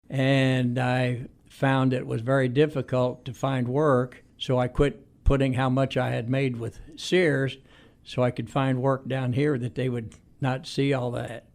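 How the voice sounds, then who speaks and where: Creaky-voiced man in his eighties. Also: medium pitch, darkish tone. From Indiana, United States. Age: 80s. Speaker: interview guest. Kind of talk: conversational reminiscing interview answers.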